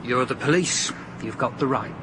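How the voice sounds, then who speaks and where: An actor playing a surly, touchy caretaker in a radio drama, curt, defensive and grudging. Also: male voice, mid-range voice, normal speed, noisy background, lightly acted.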